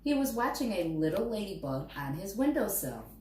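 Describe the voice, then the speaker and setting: A woman reads a picture book aloud to young children in an expressive way, dramatizing key words. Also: medium pitch, normal speed. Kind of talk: storybook read-aloud. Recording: roomy echo, distant mic, faint noise.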